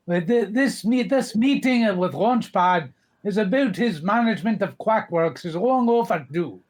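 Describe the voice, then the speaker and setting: A grumpy old male duck tycoon from a cartoon comic, acted out live by an amateur reader. He is businesslike and exasperated, and his pitch swings widely as he talks. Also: lively intonation, measured pace. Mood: brusque, scheming. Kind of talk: live comic dub reading.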